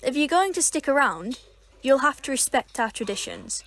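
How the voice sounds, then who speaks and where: An actress playing a prim, easily flustered club organiser in an audio drama, speaking in a brisk, clipped, humourless way. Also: female voice, high-pitched, big pitch swings, soft background music. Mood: stern, annoyed.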